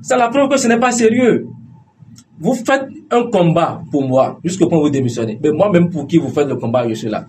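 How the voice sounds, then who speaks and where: Insistent Beninese man, slightly high voice. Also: expressive, brisk, darkish tone, slight background noise. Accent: Beninese French. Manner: insistent, repeats phrases to hold the floor. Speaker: political party activist debating as a guest. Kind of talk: heated political debate.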